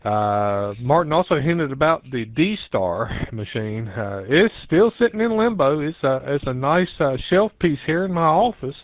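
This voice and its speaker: A man giving a casual, halting, meandering update as an amateur radio operator on a net. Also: on the high side, expressive. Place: home office. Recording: dull narrowband internet radio link.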